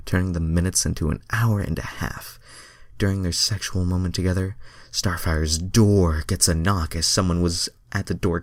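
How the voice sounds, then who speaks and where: A man's voice: sits low, no room sound. A man narrating a fan-written story in an amateur, stumbling way, with casual self-deprecating asides. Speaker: reader of fan fiction.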